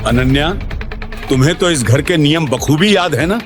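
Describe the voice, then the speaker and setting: A man's voice: a little high, expressive, normal speed, over music, close to an everyday voice. An actor playing a stern, domineering rich grandfather and family patriarch, commanding and contemptuous, in a Hindi audio drama. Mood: angry, commanding.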